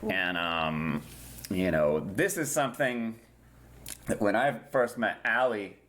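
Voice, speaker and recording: A man's voice: on the low side, slow pace, fairly bright tone. Rambling man cohosting a casual chat. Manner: rambling, frequent ums and restarts. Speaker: podcast co-host.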